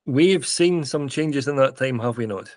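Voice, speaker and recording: Male podcast host, measured and formal, mid pitch, dry close recording. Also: no echo. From Scotland. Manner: measured and formal, with a gentle introduction. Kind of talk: podcast interview.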